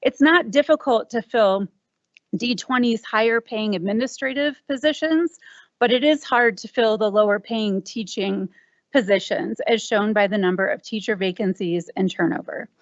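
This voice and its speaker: A woman with a naturally loud voice, a parent, reading a direct prepared comment at a podium microphone. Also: mid-range voice, moderate pace, no echo. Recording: dull lo-fi meeting audio.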